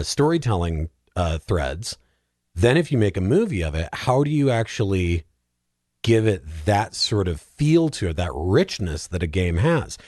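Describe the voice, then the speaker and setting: A man's voice: sits low, darkish tone, dry recording, studio quality. A man in a casual podcast discussion, explaining things in an earnest, emphatic way as a guest.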